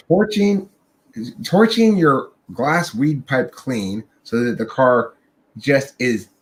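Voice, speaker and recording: A young man hosting a livestream chat, telling a rambling, profane story and laughing along the way. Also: mid-range voice. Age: young adult. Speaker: podcast host.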